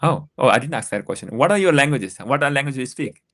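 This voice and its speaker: A man with a Nepali accent hosts a live-streamed talk show, firing off his words at a very fast clip. He hesitates often on uhs and restarts his questions, and his pitch sits in the middle range. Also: animated, a bit bright. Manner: halting, with many uhs, switches between languages. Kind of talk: live-streamed interview hosting.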